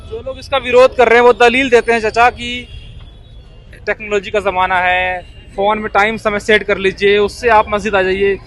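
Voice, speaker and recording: A man's voice: high-pitched, fairly even intonation, moderate pace, fairly bright tone. A man working as a street news reporter, putting questions to passers-by. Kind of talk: vox pop interviewing on a current issue. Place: outdoors on a city street. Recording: outdoor street with traffic behind.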